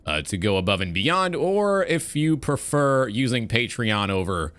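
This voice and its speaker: A man's voice: medium pitch, sing-song, measured pace, fairly bright tone. A man hosting a livestream talk show in a radio-show style, with emphatic, announcer-like phrasing.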